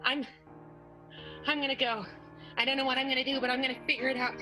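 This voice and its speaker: A woman's voice: high voice, little pitch movement, quick pace, bright, forceful tone, faint music behind. A woman playing a flustered, polite young woman in an improvised radio soap, with hesitant, faltering speech.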